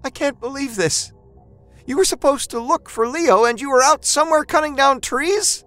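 A man's voice: very high voice, moderate pace, sharp tone, soft background music, voice pushed up. A man voicing a distraught, emotional wife in an audio horror story, pleading and incredulous. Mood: angry, upset.

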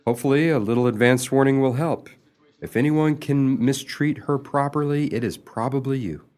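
A man's voice: medium pitch, normal speed, darkish tone, close to an everyday voice. An actor playing a mild, helpful male friend in a radio comedy, speaking in an earnest, theatrical way. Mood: helpful, confiding. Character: meek, helpful old friend giving sly romantic advice. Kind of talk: radio comedy play acting.

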